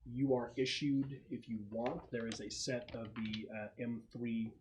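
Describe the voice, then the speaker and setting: A man running a casual tabletop role-playing game as game master, explaining the setup with halting pauses. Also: mid-range voice, steady tone, darkish tone, a little hiss or hum.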